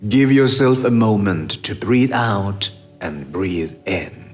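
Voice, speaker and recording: A man narrating a scripted guided meditation in a measured, instructive manner with long pauses. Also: on the low side, expressive, music in the background.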